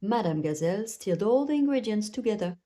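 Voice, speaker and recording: A woman's voice: mid-range voice, animated, brisk, mellow. A woman narrating a children's bedtime story aloud, telling it expressively for young listeners.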